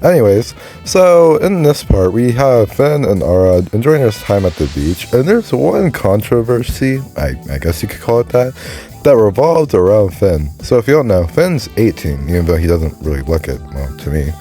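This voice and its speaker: A young man with a low, dark voice reviews a cartoon episode into his phone. He talks in a monotone, hesitant way, yet his pitch still rises and dips a lot. Faint music plays behind him. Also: moderate pace. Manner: hesitant, many fillers. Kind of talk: casual voice-over commentary.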